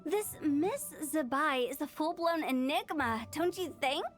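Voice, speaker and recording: A woman voicing a suspicious, theatrical girl in a video game, with deliberate, dramatic wording. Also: high-pitched, lively intonation, faint music behind.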